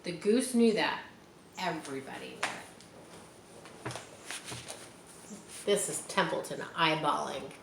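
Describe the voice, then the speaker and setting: A woman's voice: low-pitched, expressive, slow, creaky, pauses often. A woman reading a storybook aloud to children expressively, with explanatory asides. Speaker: storybook reader. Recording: echoey room, faint background noise.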